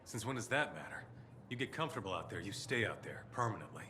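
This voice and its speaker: A man's voice: fairly low pitch, expressive, quick pace, noisy background, close to an everyday voice. An actor playing a grim, curt frontier man in a video game cutscene.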